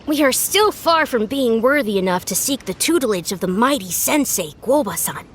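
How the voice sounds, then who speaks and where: Game duelist: earnest, grandiose youngster voiced by a woman, high voice, formal archaic speech, sweeping intonation. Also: lively intonation, moderate pace, slight background noise, close to an everyday voice. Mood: solemn, grandiose. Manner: archaic, pompous, formal declarations. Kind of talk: animated video game voice acting.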